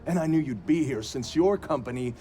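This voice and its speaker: A man's voice: medium pitch, lively intonation, a little fast, mellow, soft background music, close to an everyday voice. A man playing a self-assured, scheming agency director in a video game cutscene, guarded and assertive in manner.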